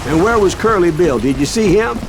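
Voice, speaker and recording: An actor playing a blunt, skeptical, argumentative frontier listener with an American Western accent. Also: male voice, sits high, lively intonation, fairly quick, noisy background. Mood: impatient, challenging. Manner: blunt, challenging. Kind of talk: dramatic dialogue in a Western game. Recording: voice over loud music.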